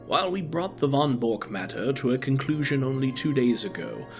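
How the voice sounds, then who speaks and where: An actor playing an aging, cerebral detective, aloof and superior, with precise, clipped speech in an audio drama. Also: male voice, medium pitch, lively intonation, normal speed, faint music behind, close to an everyday voice. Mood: aloof, musing.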